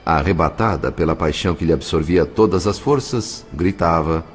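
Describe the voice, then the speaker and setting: A man's voice: sits low, normal speed. A man with a Brazilian accent narrating an audio novel in a solemn, literary, carefully phrased style. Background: faint music.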